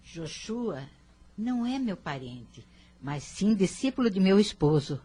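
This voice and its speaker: A woman playing a kindly, composed elderly grandmother in a radio drama, very husky, with a calm, gentle and dignified manner. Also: medium pitch, unhurried, a little hiss or hum. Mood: calm, polite. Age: older.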